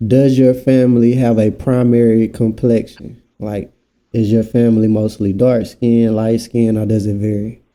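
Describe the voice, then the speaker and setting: A man's voice: on the low side, level tone, normal speed, warm tone. A man hosting a casual podcast, laid-back and encouraging. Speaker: podcast host.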